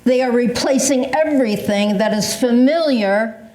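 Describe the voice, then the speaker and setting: A woman's voice: on the high side, unhurried, warm tone, close to an everyday voice. An older woman playing an agitated, suspicious older woman in an amateur readers theatre performance, speaking emphatically. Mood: alarmed, suspicious. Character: agitated older woman convinced of a mailbox conspiracy. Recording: roomy echo.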